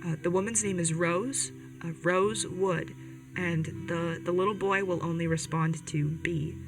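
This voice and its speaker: A woman's voice: fairly low pitch, fairly even intonation, unhurried, recorded from a distance, over music. A woman playing an earnest, protective young rebel radio host in an audio drama, speaking hesitantly and directly to listeners. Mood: earnest, wistful. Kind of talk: podcast audio drama, conversational radio monologue.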